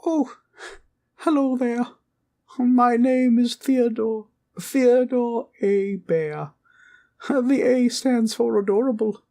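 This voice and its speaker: A sweet little living teddy bear for a comfort roleplay. The pitch sits far up high, cute and cartoonish. It chatters with innocent, bubbly politeness, like a small child. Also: male voice, takes it slowly, vocal fry, pauses often, warm tone, heavily acted. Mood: cheerful, curious.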